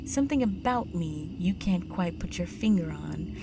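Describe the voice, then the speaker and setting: A woman playing a predatory, condescending vampire countess in an intimate audio drama, with a teasing, aristocratic manner and breathy whispers. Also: fairly low pitch, animated, moderate pace, noisy background. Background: music.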